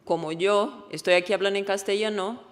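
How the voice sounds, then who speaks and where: Woman w portuguese accent, native portuguese speaker, giving a conference talk, explains stuff haltingly and fixes her words, big echoey hall. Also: mid-range voice, fairly even intonation. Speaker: researcher presenting a study. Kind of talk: conference presentation. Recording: echoey hall with long reverb.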